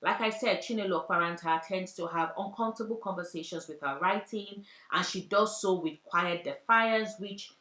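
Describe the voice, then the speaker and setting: A woman's voice: fairly low pitch, normal speed, few pauses, a bit bright. Earnest woman in an echoey room. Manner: earnest, heartfelt, with poetic repetition. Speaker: book vlogger. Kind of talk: book recommendation vlog.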